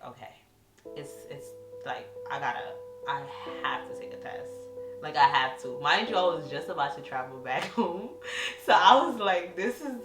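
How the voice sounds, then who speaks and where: Young woman telling a story, low-pitched. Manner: animated storyteller, acts out quotes. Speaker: co-host. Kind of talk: casual couple chat. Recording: echoey room with music behind.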